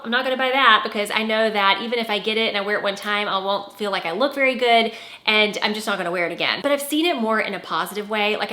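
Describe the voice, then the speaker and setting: Brief: candid style vlogger woman, 40s, bright tone, roomy room sound. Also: on the high side. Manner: chatty, candid, frequent "like" fillers. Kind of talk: casual talk to camera. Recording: roomy echo.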